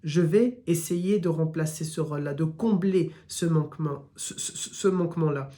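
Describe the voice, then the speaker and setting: Warm-voiced southern French man teaching. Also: on the high side, normal speed. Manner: builds points through repeated lists, speaks straight to listeners. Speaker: Islamic teacher. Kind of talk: live religious lesson.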